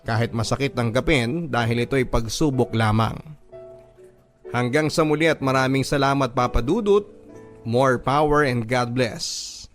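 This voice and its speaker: A radio host reading listeners' letters aloud in an earnest, measured storytelling manner with emphatic pauses. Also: male voice, medium pitch. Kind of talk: dramatic letter reading over music. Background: soft music bed.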